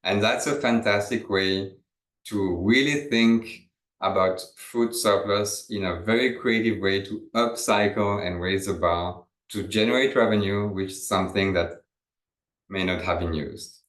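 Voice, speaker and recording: A man's voice: lowish voice, a little slow, fairly bright tone, roomy sound, poor audio. French-accented man presenting a webinar. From France. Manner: loose and conversational, with fillers and restarts.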